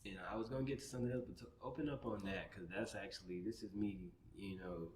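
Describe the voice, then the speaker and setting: A man with a deep voice hosting a podcast, asking rambling questions that restart midway. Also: steady tone, quick pace, a little mellow, a little hiss or hum. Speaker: podcast host. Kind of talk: casual interview questions. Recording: roomy echo.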